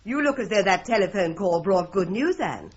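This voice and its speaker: An actress playing a wry, teasing older woman with a husky voice in a radio drama. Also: medium pitch, lively intonation, normal speed, lo-fi sound.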